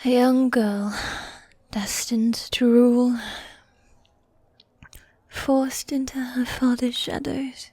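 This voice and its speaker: Woman as a slow, breathy demon girlfriend, whispering. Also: on the high side, steady tone, no room sound. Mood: hushed storytelling. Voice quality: soft, breathy, often whispering. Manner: affectionate, coaxing, drawn-out genteel phrasing. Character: needy, dominant goth demon girlfriend, teasing and theatrical. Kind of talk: medieval fantasy ASMR roleplay.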